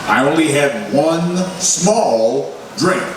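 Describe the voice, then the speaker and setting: A man's voice: a little high, lively intonation, slow pace. A man playing a worn-out, exasperated newspaper boss in old-time radio drama style, with theatrical, emphatic delivery. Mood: agitated, confiding. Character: blustery, frazzled, worn-out male newspaper boss. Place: live stage recreation at a festival. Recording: echoey hall, distant mic, noisy.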